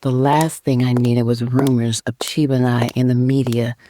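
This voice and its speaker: A woman playing a newly independent middle-aged wife, narrating her story in an audio drama with emphatic, measured delivery. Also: rumbling low, flat delivery, dark tone, a little hiss or hum. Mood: startled, wary. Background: music.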